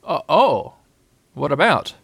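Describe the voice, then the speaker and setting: Guy doing a slow-witted little storybook bear, earnest, humble, a bit simple, dry close sound. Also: fairly high pitch, sing-song, creaky, a bit bright, husky, no room sound, pitched above the performer's own voice, heavily acted. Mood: puzzled. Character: humble, slow-witted, well-meaning male toy bear.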